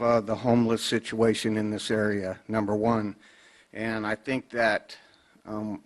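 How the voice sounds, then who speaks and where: A man's voice: fairly low pitch, fairly bright tone. A man giving public comment at a county board meeting at a podium microphone, plain-spoken and urging, with frequent fillers.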